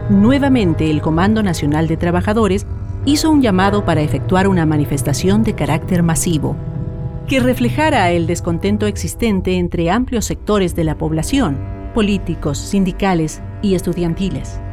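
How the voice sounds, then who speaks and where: A woman with a Chilean accent reads a scripted radio documentary in a formal, measured way. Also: fairly low pitch. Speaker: narrator. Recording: music bed behind the voice.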